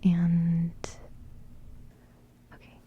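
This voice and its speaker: A young woman whispering a relaxed music reaction in ASMR style, giggling softly and rambling with plenty of fillers. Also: fairly low pitch, very slow, narrow, tinny audio, slight background noise. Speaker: ASMR creator reviewing music.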